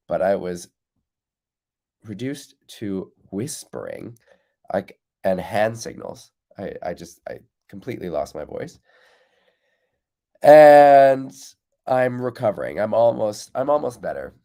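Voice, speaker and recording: A man's voice: lowish voice, sing-song, unhurried, vocal fry, dark tone. A man hosting a live windsurf coaching stream, chatting casually and answering viewers' comments while still congested from losing his voice. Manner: reads out viewer comments and answers them directly.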